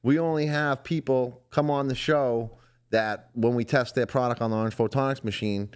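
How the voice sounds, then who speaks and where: A man hosting a laid-back podcast, his voice smooth and resonant. He rambles and circles back as he talks, at an easy, unhurried pace. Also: mid-range voice, fairly even intonation. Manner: rambling, loose and conversational. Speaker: podcast host. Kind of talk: casual chat.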